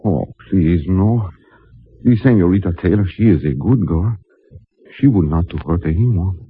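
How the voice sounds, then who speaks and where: Husky humble Spanish-accented servant. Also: male voice, sits low, animated, dry recording, heavily acted. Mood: earnest pleading. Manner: halting, earnest broken English. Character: humble, pleading Mexican-Californian mission servant. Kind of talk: 1950s radio drama acting. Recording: muffled, narrow-band vintage radio recording.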